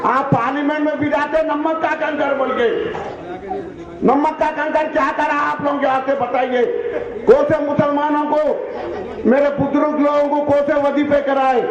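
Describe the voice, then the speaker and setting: A man with a Hyderabadi Deccani accent giving an impassioned, exhortative political speech at a public meeting, repeating his appeals in colloquial phrases. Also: very high-pitched. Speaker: political speaker. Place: public meeting, loudspeaker address. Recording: echoing PA system, dull lo-fi, crowd.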